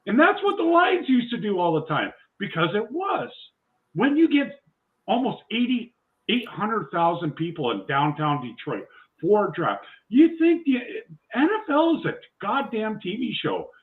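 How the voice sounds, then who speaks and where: A man's voice: sits high, wildly swooping pitch, unhurried. A man with a Canadian accent, needling others in a casual sports talk show and swearing freely. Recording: tinny phone-like line.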